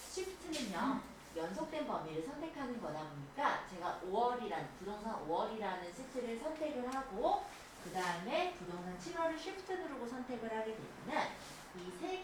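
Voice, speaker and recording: A woman instructor giving an informal step-by-step software tutorial, explaining things and often checking that learners follow. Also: on the high side, noisy background. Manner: explanatory, often checks understanding with tag questions. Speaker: computer skills instructor.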